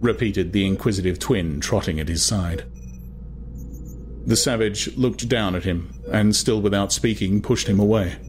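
A man's voice: fairly low pitch, moderate pace, a little mellow, soft background music. A man reading a novel aloud as narrator, in dramatized, scripted literary storytelling. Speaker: audiobook narrator.

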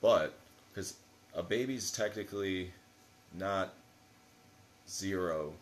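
A man's voice: sits low, takes it slowly, a little hiss or hum. A man in his late twenties chatting casually as a podcast guest, rambling along in slangy, profane language. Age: late 20s. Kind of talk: casual chat.

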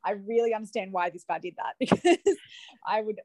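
A young woman talks sport on a podcast, chatty and quick to laugh. The recording is dry and close, with no room around her voice. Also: mid-range voice, very animated, a little mellow, dry recording. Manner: giggly, chatty, relieved. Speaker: podcast guest, sports fan. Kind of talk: casual chat.

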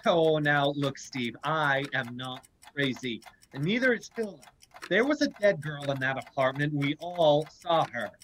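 A man's voice: a little high, expressive, a little slow, a bit bright, a little hiss or hum, low-quality recording. A man playing an insistent, accusing lawyer turned amateur detective in a hardboiled vintage-style radio crime drama. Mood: insistent, exasperated.

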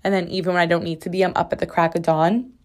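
A young woman talks through her solo podcast in a loose, chatty ramble, peppered with "like." Her voice is slightly raspy as it recovers after being lost. She records from her bathroom at home. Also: fairly low pitch, little pitch movement, quick pace. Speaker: podcast host. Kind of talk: casual solo podcast chat.

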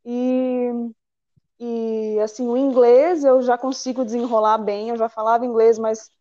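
A young Brazilian woman with a fairly high voice speaks in an expressive, conversational way. She leans on her words for emphasis. The recording is dry and slightly dull. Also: measured pace, no room sound. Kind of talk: casual personal chat.

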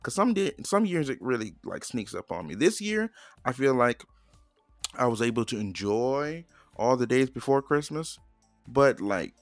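Lively, playful young man. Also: mid-range voice, moderate pace, no echo, faint music behind. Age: young adult. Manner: playful, jokey, bursts into silly sing-song. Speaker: podcast co-host. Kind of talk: casual chat.

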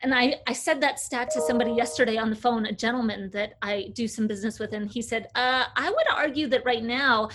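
A woman in her forties, an agency founder, telling her career story in a relaxed interview with frequent "uh"s. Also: fairly high pitch, a little fast, hardly any pauses. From United States. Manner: chatty, fluent storyteller with filler "uh"s. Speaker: agency CEO, guest. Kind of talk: casual interview talk.

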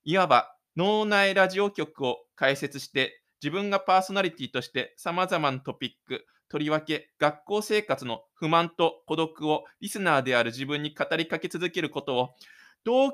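A man's voice: fairly high pitch, expressive, moderate pace, fairly bright tone. A man playing a lonely, stubborn teenage schoolboy's inner monologue in an amateur radio drama. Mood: brooding. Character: shy, stubborn, lonely teenage high school boy, brooding inwardly.

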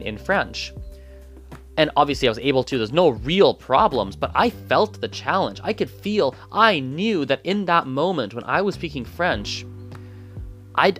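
A man's voice: fairly high pitch, a bit bright. A man whose first language is Gujarati, talking through his thoughts in a casual, rambling monologue full of restarts and asides. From Canada. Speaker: polyglot vlogger.

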